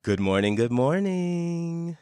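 A man hosting an interview podcast, introducing a guest and asking warm follow-up questions over a remote call. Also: fairly high pitch, slow pace, clean tone, no room sound, studio quality, a faint crowd. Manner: lively intonation, supportive listener. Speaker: podcast host.

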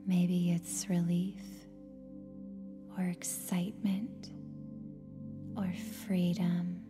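Soft, breathy woman guiding a slow meditation. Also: fairly low pitch, level tone. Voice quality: soft, breathy, near-whispered. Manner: soothing, gentle, long pauses between phrases. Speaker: meditation guide. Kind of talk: guided meditation, scripted narration. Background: meditation music with singing bowl tones.

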